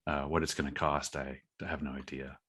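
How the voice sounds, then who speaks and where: A man's voice: very deep voice, flat delivery, moderate pace, bright, forceful tone, husky. A man talking casually as a podcast guest, an indie creator with an earnest, self-deprecating way of explaining his work. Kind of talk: casual interview chat.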